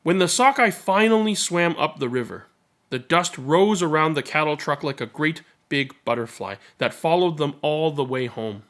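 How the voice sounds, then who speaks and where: A man's voice: on the high side, lively intonation, moderate pace, a bit bright. A man who reads children's stories aloud and talks casually as host. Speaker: storybook reader and channel host. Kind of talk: children's read-aloud narration with casual commentary.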